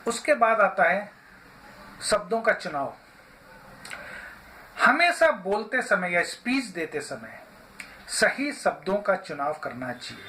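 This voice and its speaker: A man giving an instructional talk as a voice therapist, deliberately, phrase by phrase with frequent pauses. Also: high-pitched, big pitch swings, sharp tone, a little hiss or hum, poor audio. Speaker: voice therapist and yoga therapist teaching.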